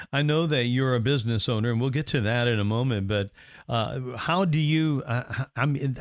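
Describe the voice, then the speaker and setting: A man's voice: mid-range voice, no echo. Man hosting an interview, dry close sound. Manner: earnest, affirming. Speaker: interview host. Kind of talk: casual interview chat.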